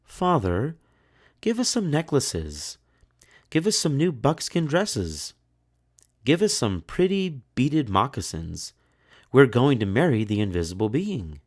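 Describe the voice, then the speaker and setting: A man's voice: medium pitch, very animated, takes it slowly, a little mellow, pitched above the performer's own voice. A man voicing two vain, cruel, haughty young sisters in a children's storybook. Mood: demanding. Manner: haughty, demanding, stammering when cornered.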